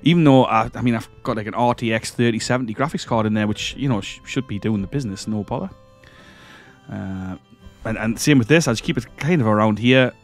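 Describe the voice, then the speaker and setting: Man, croaky with a cold, gaming commentator, casual and stumbling, faint background music. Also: on the low side, brisk. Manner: rambling, hesitant, self-correcting. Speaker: gaming channel host. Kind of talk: casual let's-play commentary.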